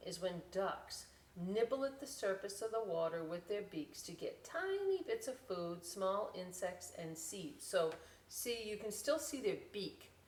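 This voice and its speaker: A middle-aged woman hosts a children's read-aloud at a slow, deliberate pace. She stresses key words and drops into folksy, chatty asides to explain them simply. Her voice sits back from the microphone in a roomy, echoing space. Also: mid-range voice, big pitch swings, darkish tone. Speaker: library storytime host reading aloud. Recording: roomy echo, distant microphone.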